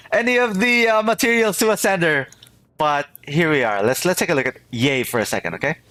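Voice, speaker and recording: A man's voice: mid-range voice, a bit bright. A man chatting casually to viewers as a game streamer, rambling and often repeating his phrases. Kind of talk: casual livestream chat to viewers.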